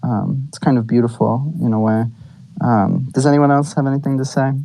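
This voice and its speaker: A man in a casual online group chat, talking in a halting way with many "um"s and "you know"s. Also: medium pitch, fairly even intonation, gravelly, mellow, dry recording, poor audio. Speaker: participant. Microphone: online audio chat.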